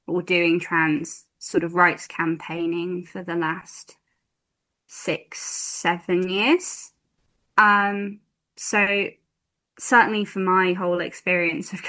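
Bright-voiced young woman campaigner. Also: on the low side. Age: 20s. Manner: thoughtful, many ums, slow measured phrasing. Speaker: campaigner and guest. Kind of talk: radio interview.

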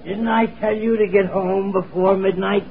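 Actor doing drunken bully father, high gravelly voice, belligerent, lo-fi radio drama. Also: steady tone, normal speed, slight background noise. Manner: belligerent, sneering. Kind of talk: old-time radio drama. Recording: tinny lo-fi radio recording.

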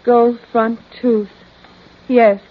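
An actress playing an entranced woman in a vintage crime radio drama, speaking in halting, trancelike short phrases. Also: on the high side, flat delivery. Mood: dazed, ominous. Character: entranced woman reliving deaths, dazed then frantic. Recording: dull lo-fi old radio recording.

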